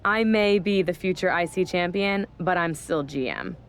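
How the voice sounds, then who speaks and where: A woman's voice: on the low side, moderate pace, smooth, natural voice. A woman voicing a smug, scheming female wrestling general manager in a video game cutscene, talking down with sarcasm. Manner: condescending, sarcastic.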